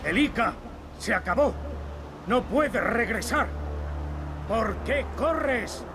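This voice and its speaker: Stern pursuer calling out slow orders, really high pitched, music behind. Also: male voice, lively intonation, background noise, exaggerated voice. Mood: pleading, stern.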